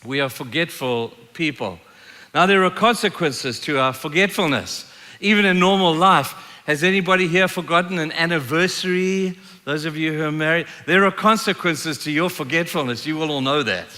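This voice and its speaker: Male preacher, sixties, from Zimbabwe. Forceful, bright, emphatic delivery of sermon and scripture, long hall reverb. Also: fairly high pitch, moderate pace. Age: 60s. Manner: emphatic, repeats key phrases for effect. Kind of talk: sermon with storytelling and scripture reading.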